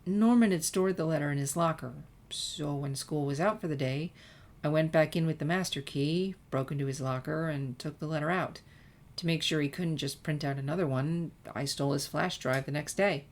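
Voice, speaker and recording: Woman acting regretful teenage girl, low voice, hesitant, reflective, confessional, storybook dialogue. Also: moderate pace. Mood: reflective, rueful.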